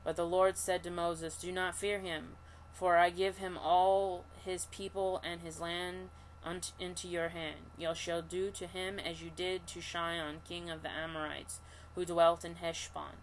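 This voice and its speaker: A woman with a self-described monotone voice, leading an online scripture study, reading passages aloud and talking them through with halting restarts. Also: lowish voice, a little hiss or hum, low-quality recording. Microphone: computer mic for an online session.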